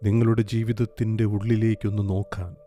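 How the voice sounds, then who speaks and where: A man guiding a meditation in a calm, gentle, soothing way, leaving long pauses. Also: lowish voice. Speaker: meditation guide. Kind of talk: guided meditation, slow soothing instruction. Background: soft meditation music with singing bowl.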